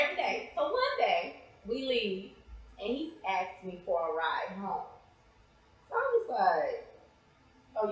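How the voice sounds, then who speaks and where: A heavily echoing room surrounds a woman giving a casual interview as a guest. Her voice sits a little high and moves up and down freely, with a lively, conversational storytelling manner. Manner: animated, acts out remembered dialogue. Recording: very echoey, reverberant room.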